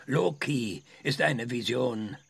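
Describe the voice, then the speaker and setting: An actor playing an embittered, impatient middle-aged visionary lecturing a sceptic in a radio drama, husky and sarcastic. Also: male voice, mid-range voice, takes it slowly, full character voice. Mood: impatient conviction. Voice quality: gravelly, husky.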